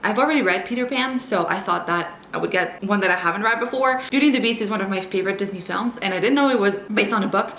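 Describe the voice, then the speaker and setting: A woman's voice: mid-range voice, fast, few pauses, fairly bright tone. Young woman, native French speaker, rambling vlog chat, slight background hiss. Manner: chatty, rambling, lots of "like". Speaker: vlogger. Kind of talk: casual reading vlog chat.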